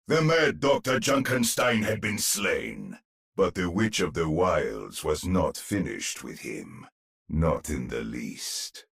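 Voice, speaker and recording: A man playing a grim, stern fantasy warrior in a video game, with a gravelly, husky voice and a dramatic, solemn delivery. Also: low-pitched, very animated, slow, fairly bright tone, exaggerated voice.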